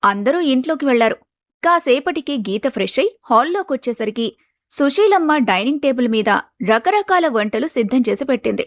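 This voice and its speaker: A woman's voice: sits high, lively intonation, brisk, no echo. A woman reading scripted storybook narration as the narrator of a family tale.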